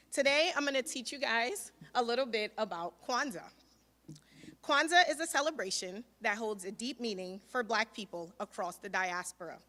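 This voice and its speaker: A woman speaks to an outdoor crowd in a bright, instructive way. She leads them in repeating words back to her. Her voice echoes through a public address system with a long reverb. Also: mid-range voice. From Nigeria. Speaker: food truck owner. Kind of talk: public teaching speech.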